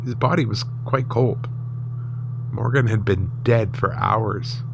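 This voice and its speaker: A man giving measured, solemn first-person narration of a horror story in a dramatic scripted style. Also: on the low side, gravelly, noisy background.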